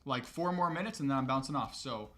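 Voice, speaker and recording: A man of ordinary pitch chats casually on a live stream, fielding one viewer question after another. He talks loosely and explains at length with frequent "um"s, and a faint hum sits under his voice. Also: fast. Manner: relaxed, conversational, explains at length with many "um"s. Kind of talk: casual livestream Q&A.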